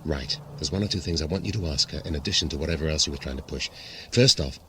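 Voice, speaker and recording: An actor playing a wry, impatient police inspector in a naturalistic radio drama. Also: male voice, very deep voice, quick pace. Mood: brisk, urgent. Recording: very noisy, car and street ambience.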